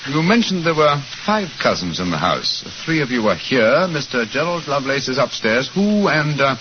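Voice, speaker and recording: A keen, self-assured detective, voiced by a man, laying out his reasoning crisply and precisely. His delivery rises and falls with lively expression. It is a 1940s radio drama recording, muffled and lo-fi with a faint hiss underneath. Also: mid-range voice, normal speed, natural voice. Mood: deducing. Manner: crisp, precise, lecturing deductions.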